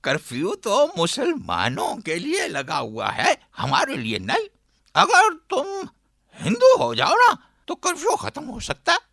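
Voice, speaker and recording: A woman's voice: a little high, wildly swooping pitch, measured pace, creaky, a bit bright, no room sound, clean studio sound, exaggerated voice. A woman playing an oily, mocking old moneylender father in a radio drama, with sing-song wheedling and chuckles. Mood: smug.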